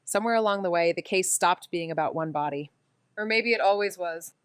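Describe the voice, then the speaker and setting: A woman's voice: lowish voice, a little fast, clean tone, no echo, studio quality, natural voice. A woman playing a wry, blunt amateur sleuth in a noir audio drama, casual and sardonic in manner. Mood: reflective narration.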